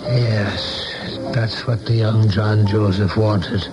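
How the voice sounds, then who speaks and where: An actor in a 1970s radio play, playing an older saloon keeper who is folksy, shrewd and philosophical with a loyal friend. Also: male voice, fairly low pitch, steady tone, takes it slowly. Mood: folksy, probing. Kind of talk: 1970s radio drama acting. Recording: dull vintage radio broadcast, music behind.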